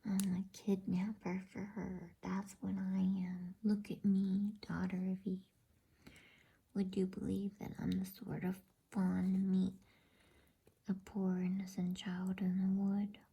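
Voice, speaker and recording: A woman's voice: mid-range voice, completely flat delivery, takes it slowly, vocal fry, dark tone, slightly rough, exaggerated voice. A woman voicing a sorrowful male fawn full of self-reproach, in a whispered bedtime storybook reading. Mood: confessing, miserable. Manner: self-reproaching, groaning, lamenting. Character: sorrowful, guilt-ridden, sobbing male fawn.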